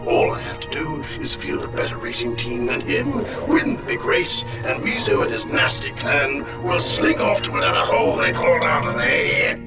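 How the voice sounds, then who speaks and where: A man's voice: a little high, sing-song, normal speed, hardly any pauses. A man playing a scheming crime boss dictating a journal in a video game, theatrical and menacing. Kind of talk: video game cutscene acting. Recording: distant voice under very loud music.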